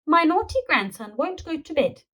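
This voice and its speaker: Woman putting on a bossy old granny dinosaur, high-pitched. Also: very animated, normal speed, fairly bright tone. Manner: firm, scolding, teasing.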